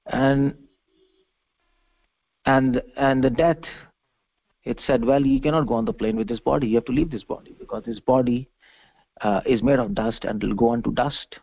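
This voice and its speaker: Male preacher, mid-pitched and creaky, expounding scripture with emphatic repetition and halting phrasing; muffled conference-line sound. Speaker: preacher giving a scripture lecture. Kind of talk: religious discourse, explaining a verse. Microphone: conference call. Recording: dull conference-call audio.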